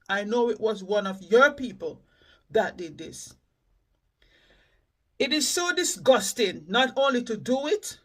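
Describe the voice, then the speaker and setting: A man's voice: high-pitched, a bit bright. A man from the Caribbean hosting a reaction channel, giving indignant, emphatic commentary.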